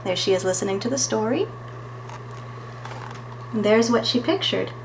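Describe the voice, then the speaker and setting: A woman's voice: on the high side, expressive, moderate pace, slight background noise, low-quality recording. A woman reading a picture book aloud as a storytime host, expressively and talking to her listeners between pages.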